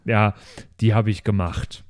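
A man's voice: fairly low pitch, steady tone, unhurried, no room sound, studio quality, lightly acted. A man playing a chatty, self-satisfied retired German grandfather, earnest and rambling, in an improvised role-play podcast. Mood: earnest pride.

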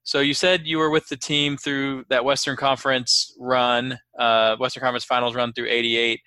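Man, podcast interviewer, medium pitch, strikingly bright tone, polite, casual, dry close sound. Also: level tone, moderate pace, smooth, no room sound.

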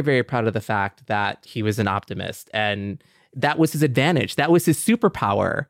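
A man's voice: medium pitch, dry recording, clean studio sound. A man co-hosting a podcast in a conversational style. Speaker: podcast co-host.

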